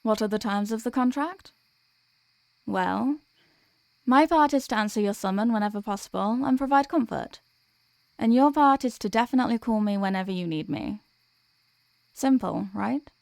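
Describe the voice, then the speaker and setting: A woman playing a gentle, protective sleep paralysis demon in an ASMR comfort roleplay, speaking in a soothing, tender, reassuring way. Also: on the high side, normal speed, vocal fry, pauses often, no room sound.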